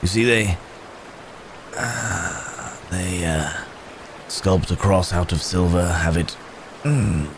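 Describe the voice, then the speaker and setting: A man playing a wounded, gentle cursed werewolf in an ASMR roleplay, halting and hesitant, with pained sighs and hums. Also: low-pitched, noisy background. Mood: reassuring, weary. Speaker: audio roleplay performer.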